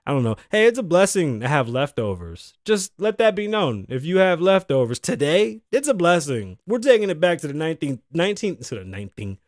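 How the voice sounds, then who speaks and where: Hoarse man hosting a casual show. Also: fairly high pitch, sing-song, brisk, few pauses, dry recording, clean studio sound. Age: 30s. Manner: loose, profane and joking, with asides to the crew. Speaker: host. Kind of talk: casual podcast monologue. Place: show set in Las Vegas.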